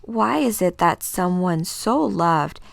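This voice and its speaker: A woman voicing a cruel, otherworldly female tormentor from a comic book, taunting in a slow, mocking, ominous way. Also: lowish voice, expressive, creaky, no echo, full character voice.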